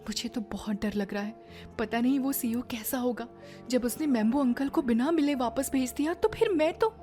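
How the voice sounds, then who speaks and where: A woman's voice: on the high side, normal speed, mellow, soft background music, lightly acted. A woman playing a proud young businesswoman in an audio drama, with an earnest, emotional delivery. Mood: anxious. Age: young adult. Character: proud young businesswoman, anxious granddaughter, cold toward husband. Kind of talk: Hindi audio drama acting.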